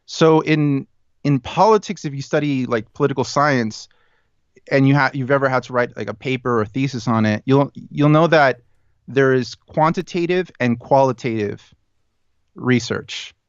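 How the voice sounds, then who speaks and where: A man's voice: mid-range voice, normal speed, clear, resonant tone, no echo. Man talking in a muffled dry recording. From United States and Chile. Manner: thoughtful, many fillers and restarts. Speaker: podcast guest. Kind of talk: casual conversation.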